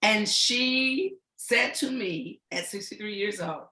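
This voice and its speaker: A woman in her sixties hosting an online panel chat, with a booming, upbeat and encouraging way of talking over a video call. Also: takes it slowly, pushed and bright, roomy sound, poor audio. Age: 60s. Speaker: host.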